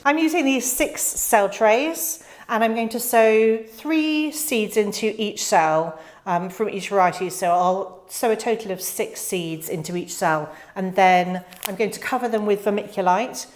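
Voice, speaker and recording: A woman's voice: mid-range voice, a little slow, hardly any pauses. A young British woman hosting her own gardening channel, explaining tomato varieties in a friendly, chatty way with the odd filler. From United Kingdom. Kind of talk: casual instructional voice-over.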